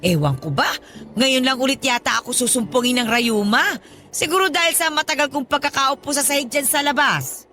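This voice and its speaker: Older freeloading godmother, high bright voice, pushy, wheedling; radio drama. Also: lively intonation, brisk, a little hiss or hum. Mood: demanding.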